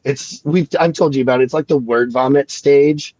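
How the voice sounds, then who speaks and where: A man talking slowly and reflectively in a casual podcast chat, with many fillers and restarts, sniffling from a cold. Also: medium pitch, fairly even intonation, darkish tone, no room sound, dull audio. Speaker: guest comic creator.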